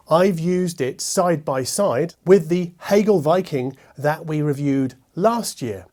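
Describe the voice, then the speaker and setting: Higher-pitched man presenting a gear review. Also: a little mellow. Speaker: host and hi-fi reviewer. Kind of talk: scripted product review to camera.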